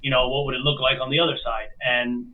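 A man from Miami talks as an interview guest, relating his story in a casual, chatty way. His voice is mid-pitched and comes through thin and phone-like, sitting some distance from the microphone. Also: little pitch movement, quick pace. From Miami, United States. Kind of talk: casual chat, telling own story.

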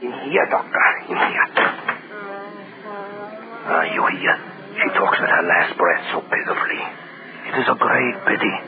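An actor playing an old village mayor in a 1930s radio drama, with formal, halting, theatrical phrasing. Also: male voice, deep voice, vocal fry. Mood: flustered, fawning. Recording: noisy tinny 1930s radio recording.

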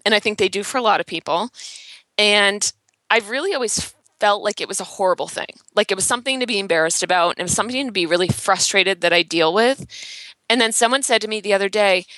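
A woman in her thirties talks openly and reflectively as a podcast guest, with a bright, forceful tone. The sound is close and dry, with no room around it. She falls into filler words and small laughs as she thinks aloud. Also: mid-range voice, no echo, rough recording. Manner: earnest, self-reflective, laughs lightly, many "um" and "like". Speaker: interior designer and blogger, guest. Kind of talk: candid podcast interview chat.

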